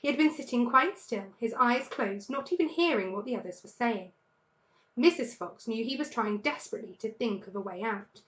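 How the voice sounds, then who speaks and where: A woman narrates a children's story expressively, like a teacher reading to pupils. Her voice is fairly high, and the room adds a clear echo. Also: lively intonation, moderate pace, fairly bright tone.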